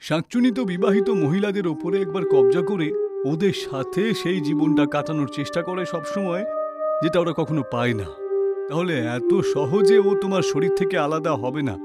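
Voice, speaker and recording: A man's voice: on the high side, fairly quick, loud music behind the voice, lightly acted. Man playing a solemn village holy man. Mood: solemn warning. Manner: solemn, warning, authoritative. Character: grave village holy man, ghost expert. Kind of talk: animated horror story dubbing.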